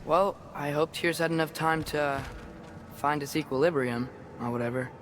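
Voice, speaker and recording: A man's voice: a little high, moderate pace, background noise, close to an everyday voice. A young man voicing an earnest, frustrated teenage demigod warrior in a video game. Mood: casual, dry. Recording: dramatic game music behind the voice.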